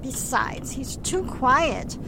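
A woman's voice: big pitch swings, slow pace, heavily acted. A woman playing a stern, weary, middle-aged mother in a radio play, scolding and emphatic. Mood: scornful, forbidding. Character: stern, scornful, weary mother running a rooming house. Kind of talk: melodramatic radio play acting. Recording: clear background noise and rumble.

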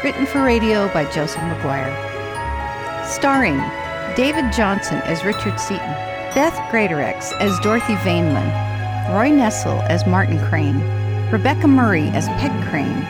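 A woman's voice: mid-range voice, very animated, normal speed. A woman announcer reading scripted radio drama credits in a formal, presentational way, recorded in a studio. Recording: studio voice over loud music. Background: loud theme music.

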